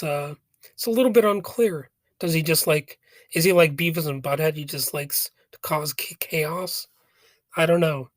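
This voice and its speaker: A man with a cold talks in a raspy, unhurried way, drifting through casual commentary with stops and little jokes. The sound is close and dry, with no room around it. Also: a little high, no echo. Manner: rambling, hesitant, jokey asides. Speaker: podcast host. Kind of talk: casual commentary on a philosophy text.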